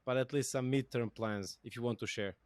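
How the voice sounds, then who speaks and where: A man's voice: medium pitch, steady tone, a little fast, no echo. Serbian-accented guy hosting a podcast, rambly, lots of like and uh, dry close mic. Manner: rambling, thinking aloud with fillers. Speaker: podcast host. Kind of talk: conversational interview.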